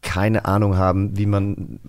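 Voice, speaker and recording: A man's voice: low-pitched, flat delivery, a little slow, darkish tone. A man hosting a casual interview. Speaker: host.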